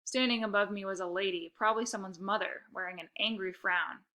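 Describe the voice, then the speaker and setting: A woman narrating a children's story aloud with expressive, scripted delivery. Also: mid-range voice, fairly quick.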